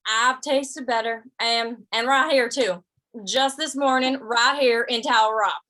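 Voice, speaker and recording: A woman's voice: a little high, steady tone, unhurried, pushed and bright, poor audio. A woman playing a sly, good-natured western drifter in a radio play, folksy and hesitant, often repeating words. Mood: hesitant, diplomatic. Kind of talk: old-time radio western acting.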